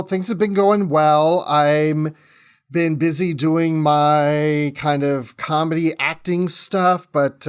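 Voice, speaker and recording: A man's voice: on the high side, smooth. A talkative man chatting casually as a podcast guest, often breaking off and restarting his own sentences. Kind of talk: casual chat.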